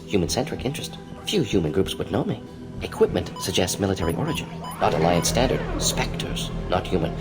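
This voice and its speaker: A man voicing a brisk, hyperactive alien scientist who talks in clipped, telegraphic phrases, in a video game. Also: sits low, big-room sound. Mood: rapid deduction. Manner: clipped telegraphic phrases, rapid-fire reasoning. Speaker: clinic doctor. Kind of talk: cinematic game dialogue.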